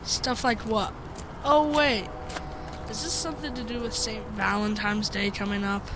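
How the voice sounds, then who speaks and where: A high voice playing a teasing twelve-year-old schoolboy who uses folksy slang in a family audio drama. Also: female voice, fairly even intonation, measured pace, close to an everyday voice. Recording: noisy outdoor street ambience.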